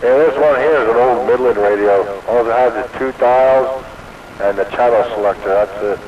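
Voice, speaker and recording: A man's voice: mid-range voice, normal speed. A man talking casually as an operator over a CB radio link. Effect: two-way CB radio transmission. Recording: muffled, noisy CB radio signal.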